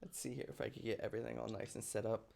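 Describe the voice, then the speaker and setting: A man's voice: medium pitch, level tone, rapid-fire, creaky, darkish tone. Casual man hosting a group stream. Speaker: live stream host.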